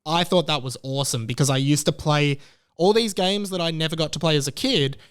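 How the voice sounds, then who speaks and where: A man, hosting a solo podcast in an excitable, rambling way. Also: a little high, fairly quick, smooth. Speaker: podcast host.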